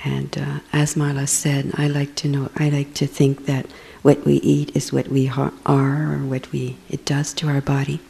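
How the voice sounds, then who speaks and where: A woman's voice: very deep voice, completely flat delivery, moderate pace, gravelly, few pauses, mellow. A woman introducing herself in a group, talking in a hesitant, chatty way. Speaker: participant.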